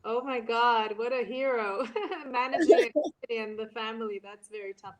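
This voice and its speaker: A woman, moderating an online panel and talking in a halting way, full of ums and restarts. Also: a little high, rough recording. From Brazil.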